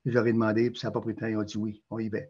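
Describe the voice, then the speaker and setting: An older man speaking with a Canadian French accent, his voice mellow and slightly husky. He pauses often and fills gaps with hesitations as he talks through his answers. The recording sounds a little muffled. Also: fairly low pitch, monotone. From Quebec, Canada. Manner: hesitant, many fillers and restarts. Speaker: interview guest. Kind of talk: prayer reading and interview answers.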